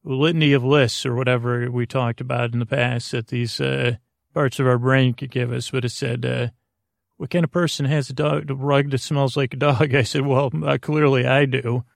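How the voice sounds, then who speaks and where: Male podcast host, mid-pitched with heavy vocal fry, rambling and self-deprecating sleep-time storytelling, halting delivery. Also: fairly even intonation, no room sound, studio quality. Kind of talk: rambling, meandering bedtime monologue.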